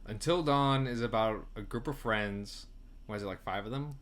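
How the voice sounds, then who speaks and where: A man's voice: lowish voice, normal speed, a bit bright. A man co-hosting a movie review podcast, talking casually and loosely as he searches for words. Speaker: podcast co-host. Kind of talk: casual film review chat.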